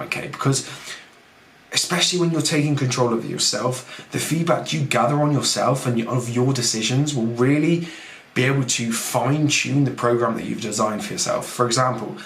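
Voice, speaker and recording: Husky-voiced man in an echoey room. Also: mid-range voice, a bit bright. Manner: rambling, self-mocking, talks straight to the listener. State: husky, losing his voice. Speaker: fitness coach and podcast host. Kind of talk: casual podcast talk, teaching. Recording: echoey room with faint background noise.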